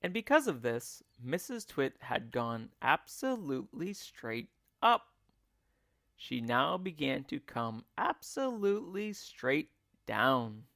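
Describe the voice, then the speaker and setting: A man reading a children's story aloud as a teacher would, with deliberate, theatrical expression. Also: mid-range voice, sing-song, creaky, fairly bright tone, no room sound, studio quality.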